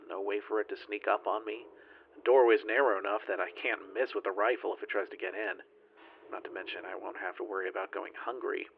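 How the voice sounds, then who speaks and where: Guy acting as tired bitter space engineer, last survivor doing an audio log, lowish voice, dull hissy recording. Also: lightly acted. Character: weary lone surviving male spaceship flight engineer, bitter, profane. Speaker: solo roleplaying player-narrator. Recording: dull, noisy radio-log sound.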